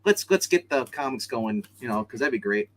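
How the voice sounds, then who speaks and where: A man's voice: medium pitch, expressive, brisk. A man hosting a casual comic book chat show, talking in a chatty, slangy way. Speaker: host of a comics review show.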